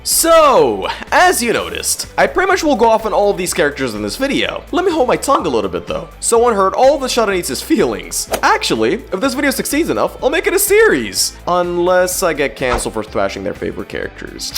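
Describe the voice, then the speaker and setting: A young adult YouTube host roasting in a sarcastic, mocking scripted commentary, jumping between loud outbursts and low mutters. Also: wildly swooping pitch, brisk, hardly any pauses, soft background music.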